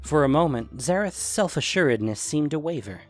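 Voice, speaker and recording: A man's voice: on the high side, animated, moderate pace, soft background music. A man reading scripted fantasy fiction as a dramatic narrator.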